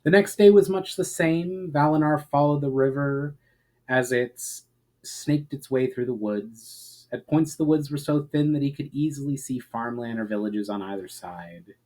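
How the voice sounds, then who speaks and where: A man reading a fantasy story aloud as a narrator, in a measured, expressive storyteller's manner. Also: mid-range voice. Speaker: author reading fiction aloud.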